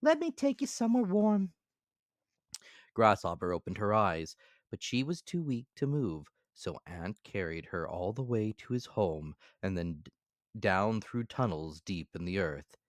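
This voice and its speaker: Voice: low-pitched, lively intonation, unhurried, dry recording, clean studio sound. A storyteller giving a dramatic scripted reading of a children's fable.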